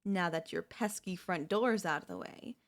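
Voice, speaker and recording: A woman's voice: fairly low pitch, lively intonation, moderate pace. A woman playing a chatty, cheeky female zombie in a comedic audio roleplay, breezy and falsely friendly. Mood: gloating, playful. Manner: breezy coaxing, falsely friendly, awkward backpedalling.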